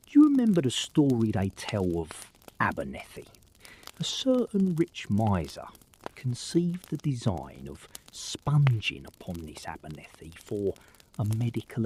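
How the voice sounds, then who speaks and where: A man's voice: on the low side, darkish tone, a little hiss or hum, low-quality recording. Drawling, swooping man playing a droll detective. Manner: drawling, teasing. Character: droll, teasing male detective telling an anecdote. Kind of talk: theatrical audio drama.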